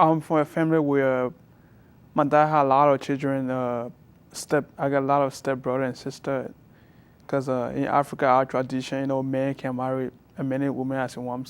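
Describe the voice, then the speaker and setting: A young man from Liberia with a Liberian accent, answering interview questions softly and haltingly as a college student guest. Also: medium pitch, level tone, smooth. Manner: soft-spoken, halting, with many restarts.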